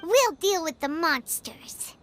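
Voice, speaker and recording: Very high, squeaky little fairy companion. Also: female voice, expressive, slow pace, a bit bright, a little hiss or hum, exaggerated voice. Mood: concerned, helpful. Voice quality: squeaky, childlike. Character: tiny childlike floating fairy companion, perky and caring. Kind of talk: video game voice acting.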